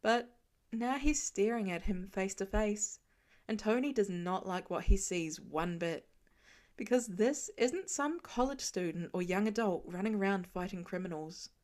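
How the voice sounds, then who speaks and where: A woman narrating a fan fiction story aloud with expressive storytelling. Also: medium pitch, a little slow. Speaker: podfic reader.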